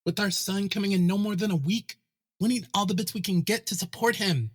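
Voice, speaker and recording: A man's voice: high-pitched, brisk, breathy edge. A man voicing a practical, placating young pony husband, earnest and a little defensive, in a fan fiction audio drama. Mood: pleading reasoning.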